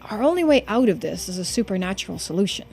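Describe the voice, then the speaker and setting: A woman plays an earnest young man from a video game, thinking aloud in an anxious, conversational way. The pitch sits in a middle range for a woman, with music playing behind the voice. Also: sing-song, quick pace, creaky, a little mellow. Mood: urgent.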